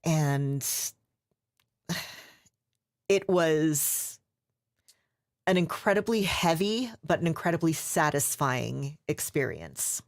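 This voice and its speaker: A woman's voice: deep voice, big pitch swings, slow pace, pauses often, dry recording, clean studio sound. A woman audiobook narrator chatting thoughtfully in a casual panel, with small hesitations.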